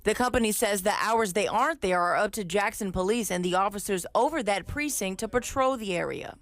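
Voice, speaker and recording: A woman's voice: medium pitch, a little fast, dry recording. A woman working as a television news reporter, reading scripted narration for a field report.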